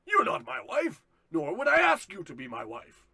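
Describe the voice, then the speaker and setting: A man playing a pompous, theatrical disgraced company president in a radio comedy play, with a husky voice. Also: high voice, wildly swooping pitch, moderate pace, bright, forceful tone, no room sound, full character voice. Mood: grandly relieved. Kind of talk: old-time radio comedy melodrama.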